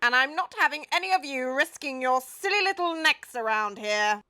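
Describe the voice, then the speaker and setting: Woman doing a loud, blustering old storybook Duke. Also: high-pitched, expressive, moderate pace, pitched above the performer's own voice. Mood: bossy, exasperated. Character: blustering, bossy but kindly old male Duke. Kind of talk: children's storybook character voice.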